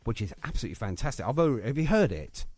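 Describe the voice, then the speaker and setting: A man's voice: mid-range voice, big pitch swings, racing, darkish tone, dry recording. A British man hosting a chatty live music radio interview, effusive and matey, with a teasing streak. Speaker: radio show host.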